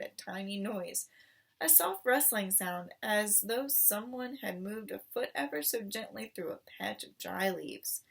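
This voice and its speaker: A woman reading a children's story aloud in expressive narration, recorded at some distance from the microphone. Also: medium pitch, vocal fry, slightly rough. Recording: voice distant from the mic.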